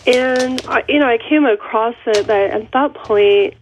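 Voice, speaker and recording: A woman's voice: on the high side, measured pace, slight background noise. A middle-aged woman, congested from a cold, calling in to a radio interview, chatty and laughing between thoughts. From Seattle area. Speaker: author and guest caller. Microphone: telephone. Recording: tinny dry phone line.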